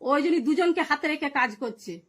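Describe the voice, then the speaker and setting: A woman with a very high voice holds her ground in a heated phone argument. She sounds defiant and aggrieved, answering firmly. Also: expressive, moderate pace. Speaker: wife in a phone confrontation.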